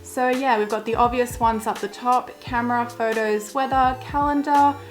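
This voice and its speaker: A young woman, chatting casually through a vlog in a croaky voice that is wearing thin, with plenty of filler words. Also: on the high side, steady tone, moderate pace, soft background music. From Australia. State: croaky, losing her voice. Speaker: vlogger. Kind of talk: casual walkthrough.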